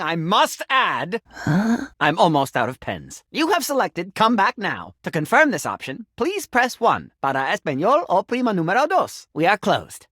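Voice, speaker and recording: A man's voice: high voice, pushed and bright, no echo, clean studio sound, heavily acted. An actor playing a confused, sentimental sink who thinks he is human in a video game, with theatrical, flowery delivery. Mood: officious, absurd. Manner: theatrical, flowery, swinging between formal and exclamatory. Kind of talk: video game character acting.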